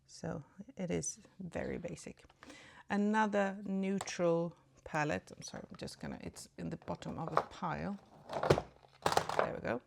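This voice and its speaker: A woman from Sweden with a slightly Swedish-tinged British English accent, chatting casually through a makeup tutorial just after waking up, dropping to soft murmurs as she applies makeup. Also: on the low side, expressive, measured pace, vocal fry, a little hiss or hum. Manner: chatty, apologetic asides, soft murmurs while applying makeup. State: just woke up. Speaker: beauty vlogger.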